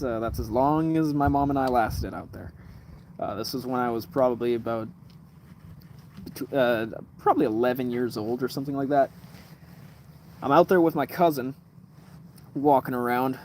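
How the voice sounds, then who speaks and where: A man's voice: mid-range voice, moderate pace, long pauses, darkish tone, a little hiss or hum. A man in his twenties telling rambling stories on a casual livestream, swearing, with lots of fillers and restarts. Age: 20s. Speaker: livestream host. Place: room lit by kerosene lamp at night.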